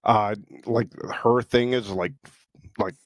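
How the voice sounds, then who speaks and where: A man's voice: medium pitch, fairly even intonation, takes it slowly, no echo. A man co-hosting a podcast with blunt, crude, casual banter. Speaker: podcast co-host.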